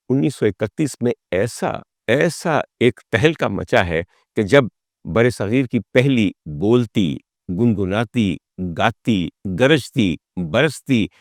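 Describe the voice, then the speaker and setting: A man of medium pitch presents a talk as a storyteller. He speaks in a rhetorical, dramatic way, building up deliberately through repeated phrases. The sound is dry with very little room in it. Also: dry recording. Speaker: host and storyteller of a music history talk. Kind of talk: dramatic spoken storytelling to the audience.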